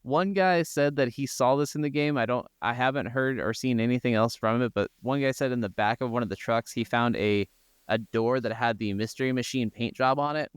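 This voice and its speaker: A man's voice: medium pitch, a little fast, clean tone, dry recording, studio quality. A man chatting casually as co-host of a gaming podcast, telling things in an informal, meandering way. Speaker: video game podcast co-host.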